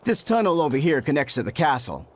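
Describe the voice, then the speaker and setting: A man playing a brisk, businesslike underworld gatekeeper and guide in a video game. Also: on the high side, wildly swooping pitch, a little hiss or hum. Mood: businesslike, terse.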